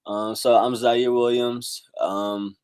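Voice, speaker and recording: A man in his twenties from South Carolina, a musician talking casually in an interview, relaxed and full of fillers. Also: medium pitch, slow, clean tone, no echo. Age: 20s. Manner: laid-back, many fillers like "um", "like" and "bro". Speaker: musician guest.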